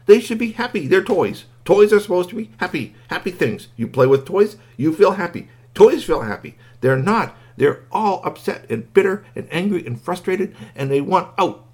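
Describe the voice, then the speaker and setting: A small talking toy robot from a children's story, played by a man. The voice is pitched high and swings up and down, with a husky edge. It is earnest and fretful, scolding and insisting through repeated phrases. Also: expressive, normal speed, few pauses, a little mellow. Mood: urgent, upset.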